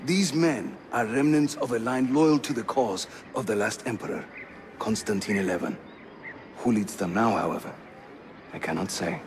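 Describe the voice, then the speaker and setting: A man's voice: medium pitch, moderate pace, a little hiss or hum, natural voice. An actor playing a jovial, roguish Ottoman assassin guide in a video game, with a Turkish accent and a theatrical, teasing manner. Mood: explaining. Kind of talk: video game cutscene acting.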